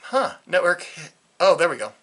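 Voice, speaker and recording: Bright-voiced American man, rambling casual review. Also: high voice, sing-song, normal speed. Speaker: vlogger reviewing a radio. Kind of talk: casual unscripted product review, rambling chat. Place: indoors in a concrete-walled bunker.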